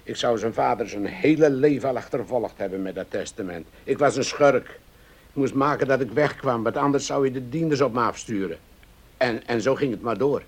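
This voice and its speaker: An actor playing a humble, aggrieved ferryman in a radio play, halting and deferential in a plain-spoken way. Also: medium pitch, lightly acted. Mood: baffled, indignant. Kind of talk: Dutch radio play acting.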